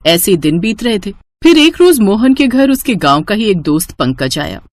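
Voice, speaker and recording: A woman's voice: medium pitch, very animated, moderate pace, no room sound, clean studio sound. A woman narrating a scripted moral story as its storyteller.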